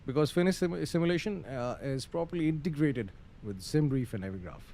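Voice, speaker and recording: A man's voice: on the high side, expressive, a little fast, a little mellow. A man giving casual live-stream commentary on a flight simulator, muttering through procedures hesitantly with some radio phraseology. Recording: faint background noise.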